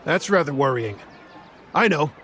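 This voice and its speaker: A man's voice: on the high side, animated, moderate pace, a bit bright, slight background noise. A man voicing a helpful, easygoing laborer in a video game, talking in a thoughtful, casual way.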